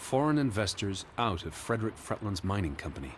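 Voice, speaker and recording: An actor playing a weary, anxious, bookish gentleman with a formal, precise manner in a 1920s period drama game. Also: fairly low pitch, very animated, fairly quick, slight background noise. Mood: sober, informative. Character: weary, anxious, bookish middle-aged gentleman searching for his sister.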